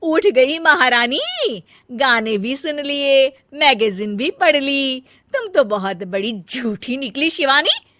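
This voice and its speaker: An earnest, dutiful elder daughter-in-law from a cartoon story, voiced by a woman, proper and sincere. Her voice sits fairly high, and the recording is dry and close. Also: big pitch swings, slow pace, bright, forceful tone, no room sound, exaggerated voice. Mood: scolding. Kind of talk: cartoon voice acting.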